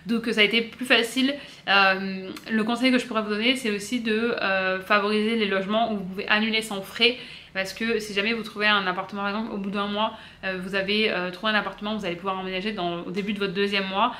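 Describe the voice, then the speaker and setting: A woman from south-east France with a French accent, giving casual vlog advice in a chatty, halting way with frequent self-corrections. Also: mid-range voice, steady tone, sharp tone. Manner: chatty, hesitant, self-correcting with many pauses. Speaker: vlogger. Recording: roomy echo.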